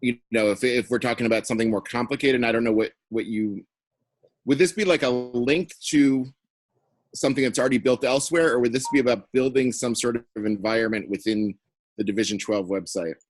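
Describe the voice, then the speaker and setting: Male call participant, mid-pitched, tentative and informal, searching for words, dry sound. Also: fairly even intonation, fairly quick, no echo. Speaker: meeting participant. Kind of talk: casual work conference call. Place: online conference call.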